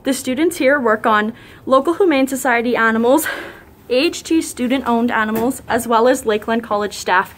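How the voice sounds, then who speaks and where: A woman's voice: a little high, moderate pace, a little hiss or hum. A young woman student walking through a veterinary clinic, explaining each room in a plain, matter-of-fact way. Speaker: student tour guide. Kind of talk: guided facility tour. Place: veterinary teaching clinic rooms.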